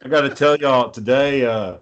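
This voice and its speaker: A man's voice: mid-range voice, moderate pace, muffled recording. A man co-hosting a casual podcast, telling stories in a blunt, profane way. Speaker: podcast co-host.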